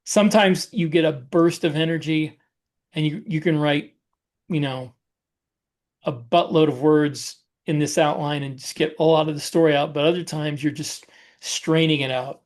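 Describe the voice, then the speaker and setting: Male podcast co-host, fairly high voice, conversational and explanatory, circles back over his phrases. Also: steady tone, moderate pace. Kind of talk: casual podcast chat.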